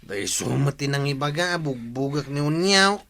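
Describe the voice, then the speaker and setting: Troublesome comic villager, Ilocano accent, wheedling, forceful bright tone, radio drama. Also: male voice, mid-range voice, expressive, moderate pace, creaky. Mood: apologetic. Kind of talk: comedy radio drama acting.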